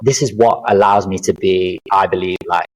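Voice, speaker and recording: Podcast guest, man in his thirties, low voice, earnest, reflective, rambling with fillers. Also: steady tone, normal speed, slight background noise, low-quality recording. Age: 30s. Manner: reflective, earnest, lists experiences with many fillers. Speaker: podcast guest, life coach. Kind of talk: casual interview chat.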